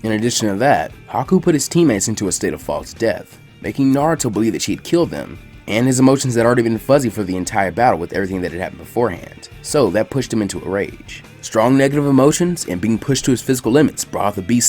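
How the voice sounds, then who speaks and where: Lively, quick-paced man narrating a story. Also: on the low side, soft background music. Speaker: fan fiction reader. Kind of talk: animated narrated story reading.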